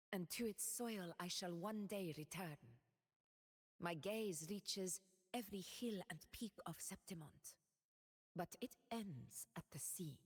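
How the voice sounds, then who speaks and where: Proud noble ruler in a game, solemn, measured, slow-spoken, echoing hall. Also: female voice, deep voice, husky, exaggerated voice. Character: proud noble woman ruler, steadfast warrior. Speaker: game character voice lines. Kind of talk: game voice lines.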